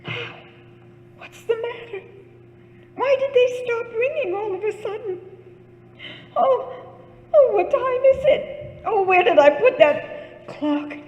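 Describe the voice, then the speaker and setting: A very high, shrill voice rings out with long reverb. a nervous, self-pitying bedridden woman in a radio thriller, haughty and fretful, swinging widely in pitch. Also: animated, slow pace, long pauses, muffled recording, heavily acted. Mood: anxious, suspicious. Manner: haughty, fretful, repeating words in panic. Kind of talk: old-time radio thriller acting. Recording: echoey hall with long reverb.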